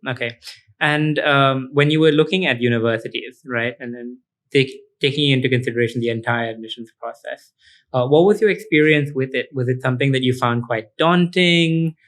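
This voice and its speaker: A man from India hosting a casual interview, chatty and quick, often restarting his sentences as he asks questions. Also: mid-range voice, fairly bright tone. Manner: quick, chatty, with frequent restarts and affirming asides. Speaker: host.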